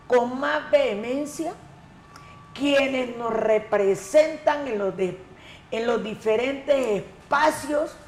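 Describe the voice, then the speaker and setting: A woman from the Colombian Pacific coast, an education coordinator answering interview questions in a measured, emphatic way. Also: on the high side, a little hiss or hum. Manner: measured, emphatic, repeats key words. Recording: echoey room, faint ambience.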